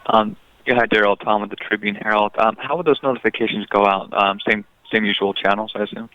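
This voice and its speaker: A man's voice: lowish voice, fast talker, no room sound. A man working as a reporter, casually asking slightly hesitant questions over a conference call. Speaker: newspaper reporter. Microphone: telephone. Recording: dry, band-limited phone line.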